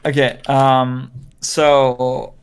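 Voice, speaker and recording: A man's voice: medium pitch, flat delivery, very slow, slight background noise. A man from Denmark chatting casually on a livestream, rambling with many hesitations. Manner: rambling, hesitant, lots of fillers and restarts. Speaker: streamer.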